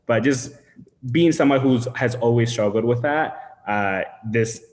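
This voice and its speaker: A man taking part in an online meetup, speaking in excited, heartfelt bursts. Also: medium pitch, a little slow, in a large hall. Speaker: meetup participant. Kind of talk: casual group video chat.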